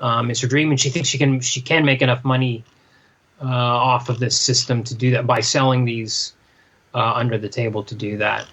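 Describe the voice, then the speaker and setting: A man's voice: mid-range voice, flat delivery, moderate pace, fairly bright tone. A man co-hosting a casual book discussion, hesitant and often repeating himself, on slightly dull lo-fi audio. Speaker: podcast co-host.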